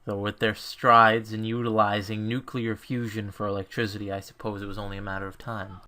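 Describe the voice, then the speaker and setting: A man's voice: lowish voice, flat delivery, brisk, pushed and bright. A man playing a stern, short-tempered mentor lecturing a trainee in a visual novel fan dub. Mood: frank, serious.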